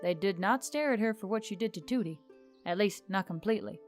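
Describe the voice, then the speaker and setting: A woman reading scripted fiction as a story narrator, in an expressive storytelling style. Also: mid-range voice, brisk. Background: background music.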